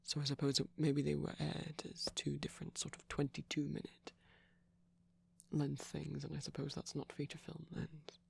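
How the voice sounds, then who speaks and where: A man playing a shy, gentle vampire boyfriend in an intimate ASMR roleplay, soft-spoken, breathy and creaky, rambling hesitantly and dipping toward whispers. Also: fairly high pitch, monotone, moderate pace, exaggerated voice. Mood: rambling, gently teasing.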